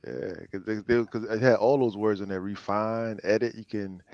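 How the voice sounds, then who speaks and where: A man's voice: on the low side, fairly even intonation, moderate pace, a little mellow, dry recording. A man chatting casually on a podcast panel, rambling and repeating his words as he works toward a point. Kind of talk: casual chat. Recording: dull, muffled, dry sound.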